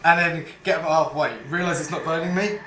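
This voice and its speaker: A man's voice: fairly high pitch, quick pace. A man, casually describing his moves as a player in a tabletop game. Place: domestic dining room, indoors. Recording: echoey room, clear background noise.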